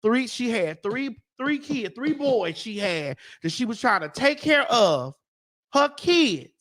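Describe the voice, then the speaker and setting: Fiery, profane talk-show host. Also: female voice, a little high, very animated, slow, fairly bright tone. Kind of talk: heated casual talk-show rant.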